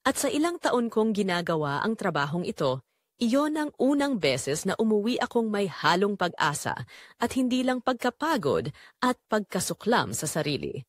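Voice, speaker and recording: A woman's voice: medium pitch, big pitch swings, normal speed, no echo, clean studio sound. A woman playing a struggling single mother, weary but hopeful, narrating a confessional first-person audio drama to her listeners in an emotive way. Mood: tender, wistful.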